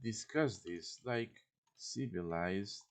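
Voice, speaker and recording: A man's voice: on the low side, slow pace. A man voicing a cowardly male landowner in a game, placating and pleading for his life.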